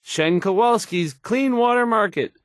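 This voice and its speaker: An actor playing a timid, insecure young radio host in a video game, stammering and hesitant, trailing off anxiously. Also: male voice, high voice, measured pace, no room sound, studio quality. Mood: nervous.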